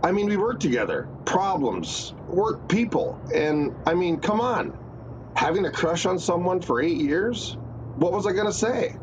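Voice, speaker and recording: A man's voice: sits high, big pitch swings, a little slow. Muffled, earnest lovestruck man. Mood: flustered. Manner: earnest, sputtering when flustered. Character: earnest, hesitant male employee secretly in love with his boss. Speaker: actor in a radio play. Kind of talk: radio play romantic comedy acting. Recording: muffled, noisy recording.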